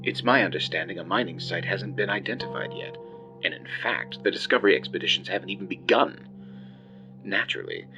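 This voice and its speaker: An actor playing an ambitious, pompous colony administrator in an audio drama, speaking in a formal, grandiloquent and theatrical way. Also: male voice, low voice. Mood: disdainful. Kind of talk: audio drama message monologue. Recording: dull lo-fi audio under music.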